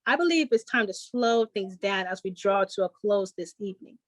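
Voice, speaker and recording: A woman's voice: medium pitch, expressive, normal speed, dry recording. A woman announcing a show in scripted 1940s radio style. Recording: noisy room, audience murmur.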